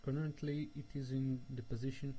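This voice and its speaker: A man, a developer giving a recorded technical presentation in slow, careful speech with frequent hesitations. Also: mid-range voice, little pitch movement, dark tone, slight background noise, low-quality recording. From Portugal. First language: Portuguese. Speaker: software developer presenting a tutorial.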